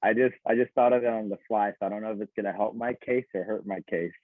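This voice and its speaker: A young man co-hosting a podcast with his father, quick and teasing, often laughing. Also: fairly low pitch, fairly even intonation, no room sound. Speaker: podcast co-host, son. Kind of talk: casual chat. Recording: tinny phone-like sound, dry room.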